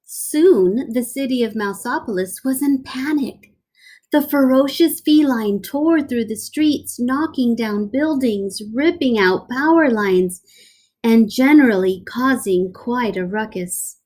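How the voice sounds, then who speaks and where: A woman teacher reads a children's picture book aloud, animated and playful, dropping in little asides. Her voice sits fairly high, and she keeps the pace slow and careful. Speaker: elementary school teacher.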